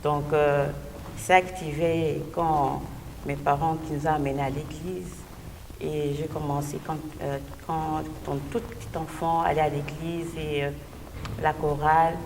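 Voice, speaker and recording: A woman's voice: low-pitched, flat delivery, a little slow. A woman from Belgium, a gospel singer and interview guest, giving a personal testimony and often hesitating to find her words. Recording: distant mic, echoey hall, noisy background.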